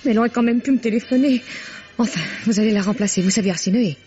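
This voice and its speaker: An actress playing a flustered theatre organizer who hurriedly pleads and persuades, in an old radio drama. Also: female voice, a little high, quick pace, close to an everyday voice. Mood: pleading. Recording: dull old radio recording with music.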